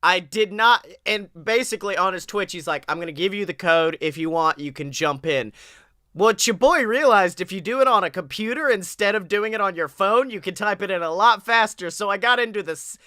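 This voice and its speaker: A young man hosting a casual wrestling podcast in an excitable, playful, hyped-up way. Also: sits high, a little fast, no room sound, studio quality. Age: young adult. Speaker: podcast host. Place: small room at home, on a video call.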